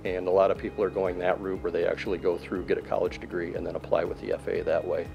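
A man in his fifties, an air traffic control manager, answering interview questions in a control tower in a plain, measured way with many uh's. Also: deep voice, level tone, gravelly, muffled recording, soft background music. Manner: plain, measured, fills pauses with uh and um. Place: control tower cab, indoors, large windows. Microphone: handheld mic held by interviewer.

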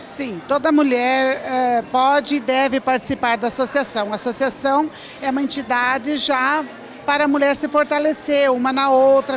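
A middle-aged woman, an association president, answering directly and explaining plainly into a handheld mic in an indoor event hall. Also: high-pitched. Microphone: handheld interview mic.

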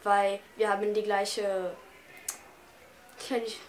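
A woman's voice: mid-range voice, flat delivery, takes it slowly, slight background noise. Teenage girl searching for words. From Brazil. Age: teens. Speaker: student. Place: school room indoors.

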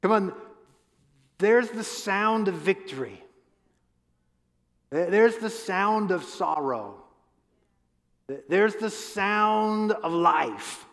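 A man in his fifties preaching a conversational sermon on a church stage through a headset mic, asking the crowd questions and often restarting his words. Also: sits high. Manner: animated, gestures a lot while pacing the stage. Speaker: pastor. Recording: long hall reverb.

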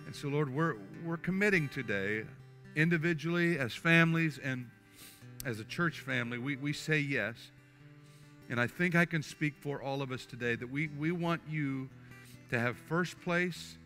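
An older male pastor in his sixties with a bright, forceful voice, preaching and leading an altar prayer in a church sanctuary. He speaks earnestly, often stumbling and restarting his phrases, while soft guitar music plays faintly beneath him. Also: mid-range voice. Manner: earnest, halting with repeated restarts.

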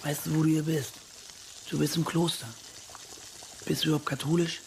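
Blunt Hamburg monastery mate. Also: male voice, on the high side, flat delivery, moderate pace, creaky, slight background noise. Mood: challenging, irritated. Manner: colloquial, blunt, challenging. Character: gruff, needling male monastery mate with slangy tongue. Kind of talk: radio drama dialogue.

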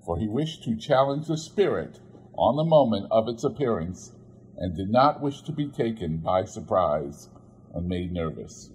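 A man reading a classic story aloud as an audiobook narrator, with expressive, theatrical delivery and deliberate pauses. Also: medium pitch, breathy edge, rough recording. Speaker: audiobook reader.

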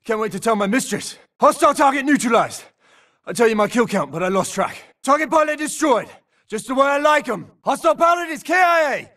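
Male infantry grunt for a shooter game, forceful and sharp, urgent barked callouts, a little off-mic. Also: very high voice, lively intonation, fairly quick, far from the mic, exaggerated voice. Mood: cocky. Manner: urgent, clipped, forceful barking. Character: battle-hardened male infantry grunt barking combat callouts. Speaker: soldier in a video game. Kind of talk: military shooter game battle chatter.

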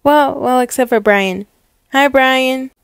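Woman playing a deadpan bookish schoolgirl, high voice. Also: slow pace, smooth, dry recording. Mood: resigned, lonely. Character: bookish, lonely, reluctant schoolgirl nerd. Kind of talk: animated roleplay story acting.

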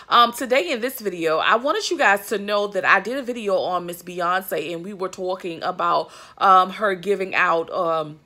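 A woman's voice: medium pitch, pushed and bright. A woman in her thirties giving emphatic, chatty commentary to her viewers from her couch, with plenty of fillers and asides. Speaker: vlogger. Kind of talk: casual commentary to camera. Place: living room, on a couch indoors. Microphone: phone or webcam at arm's length.

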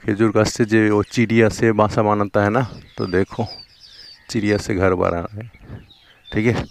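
A man's voice: on the low side, little pitch movement, normal speed, gravelly, slight background noise. A young man with a Bengali accent, whose first language is Bengali, chatting casually while riding a motorbike along a riverbank, with an action camera on him. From West Bengal, India. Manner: chatty, repeats "theek hai" and "dekho". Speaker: motovlogger. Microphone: helmet or chest action camera.

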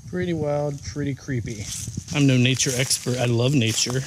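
A man's voice: medium pitch, steady tone, moderate pace. A man vlogging casually while walking through the woods, thinking aloud and unsure about what he finds. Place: outdoors in the woods. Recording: noisy outdoor recording.

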